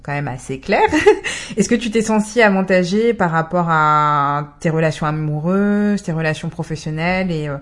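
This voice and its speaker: A woman's voice: low-pitched, moderate pace. A woman co-hosting a casual conversation, asking thoughtful questions with some fillers.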